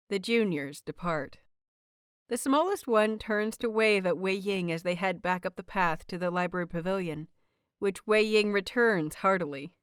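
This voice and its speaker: A woman's voice: mid-range voice, a bit bright, clear, resonant tone, no room sound. Woman narrating an audiobook, close and dry. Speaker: host and narrator of fan fiction audiobooks. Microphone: close microphone, dry recording.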